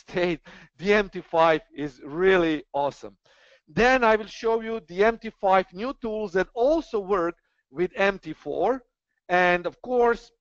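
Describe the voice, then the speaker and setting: A man's voice: sits high, fairly bright tone, clean tone, dry recording. A man hosting an online trading webinar, talking in an earnest, persuasive way with frequent hesitations. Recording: dull, dry online webinar audio.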